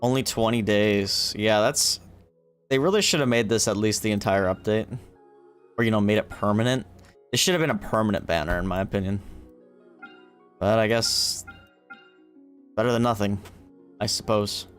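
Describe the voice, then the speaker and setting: A man's voice: on the low side, normal speed, long pauses. A man livestreaming a game, chatting casually and swearing as he talks to himself and the game. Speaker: gaming livestreamer. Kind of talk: casual livestream chat while playing. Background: video game music.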